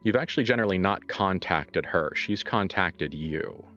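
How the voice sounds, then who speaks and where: A deep-voiced man runs a tabletop horror game as its game master. He improvises in a conversational way, stopping to repeat and rework phrases. The sound is a little dull, with music playing behind him. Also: expressive, normal speed, fairly bright tone. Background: background music.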